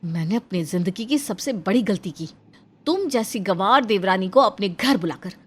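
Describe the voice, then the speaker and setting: A woman voicing an exasperated, scornful urban elder sister-in-law who scolds and bosses in an animated family story. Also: medium pitch, normal speed, husky. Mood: fed up. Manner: scolding, bossy, emphatic.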